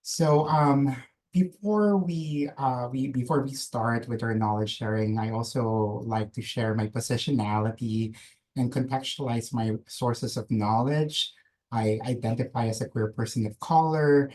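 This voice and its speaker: A man whose first language is Filipino speaks in a mellow, relaxed tone. He leads a webinar audience through a calm grounding exercise at a measured, invitational pace. He is heard through a computer mic on a video call. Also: medium pitch, rough recording. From Philippines. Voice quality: slow, measured, gentle invitational delivery. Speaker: guest speaker, nurse practitioner.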